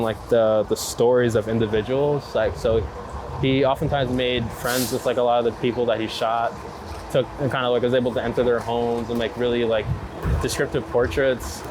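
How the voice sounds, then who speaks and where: Informal, slangy man chatting on a noisy street. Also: medium pitch. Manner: enthusiastic, informal, slangy with heavy use of "like". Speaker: interviewed street photographer. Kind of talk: casual chat, unscripted interview while out walking. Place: outdoors on city streets. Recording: loud urban street ambience, traffic noise. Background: city traffic and street ambience.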